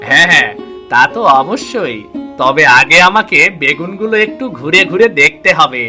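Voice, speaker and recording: A man's voice: a little high, lively intonation, normal speed, bright, forceful tone. A man voicing a friendly, coaxing buyer who reassures an old woman in a cartoon. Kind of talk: cartoon voice acting. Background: background music.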